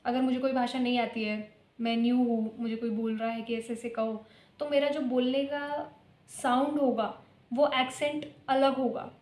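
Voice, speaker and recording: High-voiced woman teaching, echoey. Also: steady tone, normal speed, darkish tone. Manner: explains by listing examples, switches between languages mid-sentence. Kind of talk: exam-prep lecture mixing Hindi and English.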